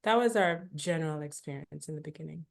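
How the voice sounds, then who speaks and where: A woman's voice: deep voice, quick pace, creaky. A woman from Africa, a podcast guest, telling her immigration story in a reflective, casual way, full of "you know" asides.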